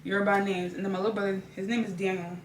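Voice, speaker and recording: A woman's voice: mid-range voice, flat delivery, very fast, distant mic. A young woman hosting a casual chat, curious and supportive, asking questions. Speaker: host. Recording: echoey room away from mic, faint noise.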